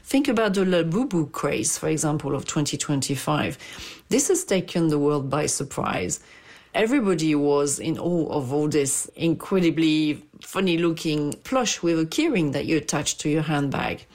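A woman analyst explains toy industry trends with emphatic, animated delivery. Her voice is notably low for a woman. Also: moderate pace. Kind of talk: expert commentary.

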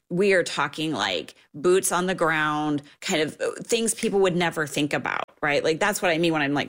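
Woman, expert guest, low gravelly voice, animated, chatty. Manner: animated, filler-heavy, emphatic. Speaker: industry expert interviewee. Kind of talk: casual explanatory talk.

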